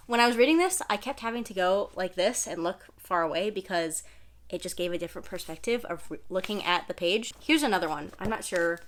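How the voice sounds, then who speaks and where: A young woman with a lowish voice that has gone slightly hoarse, as if she is losing it. She chats casually to camera while sitting on the floor at home, hedging often with little fillers and poking fun at herself. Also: fairly bright tone. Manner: chatty, self-deprecating, many fillers like "like" and "I don't know". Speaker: manga vlogger. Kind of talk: casual review chat to camera.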